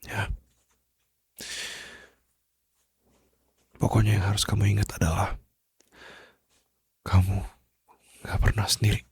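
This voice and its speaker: A man with an Indonesian accent playing a protective, loving mafia boss in an intimate ASMR roleplay, speaking softly and reassuringly in a husky voice. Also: completely flat delivery, no echo. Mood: tender, reassuring. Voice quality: deep, gravelly, husky. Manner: slow, soft, hushed, pausing, gently reassuring. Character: male mafia boss, tender and protective lover. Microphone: close studio condenser microphone.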